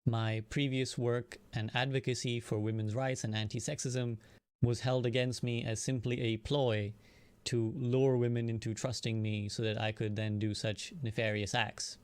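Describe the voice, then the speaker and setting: A man, an online streamer, giving a measured, prepared personal statement in earnest, articulate, formal wording. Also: medium pitch, flat delivery, clear, resonant tone, no echo.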